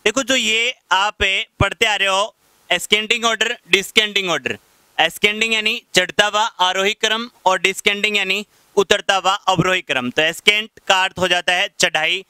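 A man in his thirties with a Rajasthani accent, teaching English vocabulary in a classroom lecture and slowing down to read out English example sentences. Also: high-pitched, steady tone, sharp tone, clean tone, no room sound, rough recording. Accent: Rajasthani Hindi. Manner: explains patiently, slows down to read English example sentences aloud. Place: classroom in front of a whiteboard. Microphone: headset mic.

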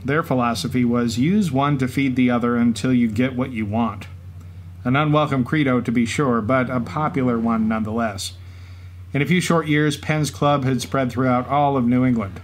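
A man's voice: medium pitch, moderate pace, lightly acted, low-quality recording. A man co-hosting a podcast, telling stories in light scripted banter. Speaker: podcast co-host. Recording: clear background noise and ambience.

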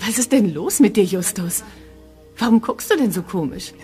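A woman's voice: mid-range voice, animated, normal speed, warm tone, soft background music, close to an everyday voice. An actress voicing a worried young woman in an audio drama, urgent and often whispering.

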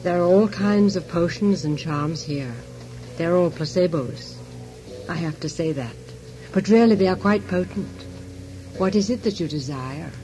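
A man's voice: fairly high pitch, a little slow, warm tone, soft background music, close to an everyday voice, poor audio. An actor playing a sly psychic shopkeeper in a radio drama, insinuating and theatrical as he bargains for souls. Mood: probing.

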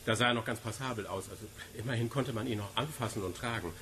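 Flustered scientist, noisy background. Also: male voice, fairly low pitch, fast, sharp tone. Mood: appalled. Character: flustered, brusque male scientist at an institute. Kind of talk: German radio drama acting.

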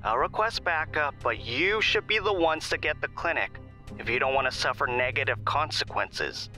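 An actor playing a terse, impatient colleague in an audio drama, giving urgent orders in a curt, commanding manner. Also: male voice, fairly high pitch, expressive, normal speed, sharp tone, soft background music. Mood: exasperated, pressing. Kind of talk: audio drama phone dialogue.

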